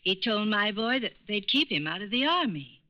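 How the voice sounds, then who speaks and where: A woman's voice: mid-range voice, big pitch swings, normal speed, lightly acted. An actress playing a shrewd, self-assured woman con artist in a 1940s radio crime drama. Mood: concerned. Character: shrewd, cool-headed female con artist, sometimes feigning worried mother. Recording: muffled old radio broadcast recording.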